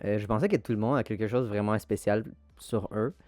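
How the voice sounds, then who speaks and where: Young man, mellow tone, scripted personal narration, faint music underneath. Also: lowish voice, brisk, no room sound. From abroad, immigrated to Canada. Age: young adult. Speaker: art school applicant presenting himself.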